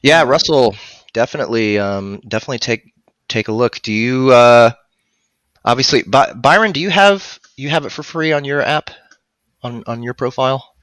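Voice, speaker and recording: Man with a bright, forceful voice. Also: mid-range voice, normal speed, dry recording. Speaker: guest, audiobook narrator and voice actor. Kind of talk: casual live stream chat. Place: home room, on a video call. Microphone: headset mic.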